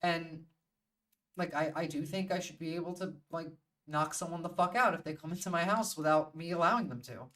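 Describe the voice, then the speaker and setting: A woman's voice: sits low, brisk, a bit bright, distant mic. A woman hosting a livestream in casual, opinionated chat, swearing freely and bluntly as she thinks aloud. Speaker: livestream host.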